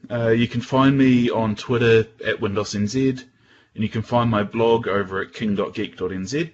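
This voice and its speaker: A man with a New Zealand accent giving an informal technical presentation in a casual, halting way. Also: on the low side, steady tone, muffled recording. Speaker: guest presenter at a tech user group.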